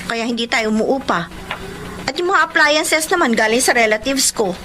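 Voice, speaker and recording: High-voiced, discontented Filipino wife. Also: female voice, moderate pace. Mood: complaining, dissatisfied. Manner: complaining, expressive. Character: discontented, nagging working wife, irritated yet conflicted. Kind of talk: Filipino radio drama acting. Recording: clearly noisy radio drama audio.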